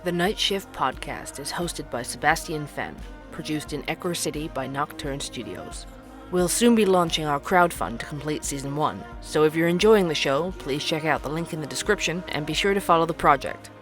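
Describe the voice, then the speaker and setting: A man playing a calm investigative podcast host. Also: fairly high pitch, soft background music. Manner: calm, measured, explanatory. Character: male podcast host investigating cold cases.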